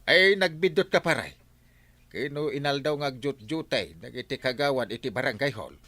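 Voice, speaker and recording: An actor playing an excitable village captain in an Ilocano-accented radio drama, scolding and reproachful in manner. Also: male voice, medium pitch, moderate pace, no echo, lightly acted. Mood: animated. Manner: animated, reproachful, swooping delivery. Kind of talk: Philippine radio drama acting.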